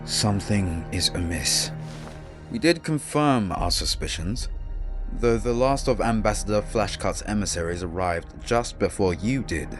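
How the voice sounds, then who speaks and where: A man's voice: lowish voice, lively intonation, unhurried. A man voicing a grave, deferential officer in a dramatised fantasy audiobook. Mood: grave, reporting. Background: background music.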